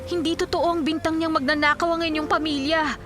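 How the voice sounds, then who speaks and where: A woman's voice: high-pitched, little pitch movement, fairly quick, natural voice. An actress playing a loyal, anxious young woman with a Filipino accent, earnest and urgent, in radio drama style.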